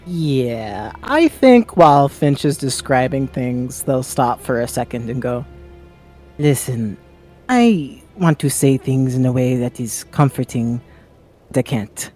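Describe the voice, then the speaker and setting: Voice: mid-range voice, expressive, slow pace, darkish tone, faint music behind. A player with an androgynous voice, casually roleplaying in a tabletop fantasy game.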